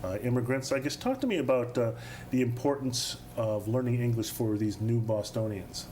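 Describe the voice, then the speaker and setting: A man hosting a studio interview show, introducing guests and asking questions in a measured way with frequent fillers. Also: mid-range voice, darkish tone, slight background noise. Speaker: TV talk show host.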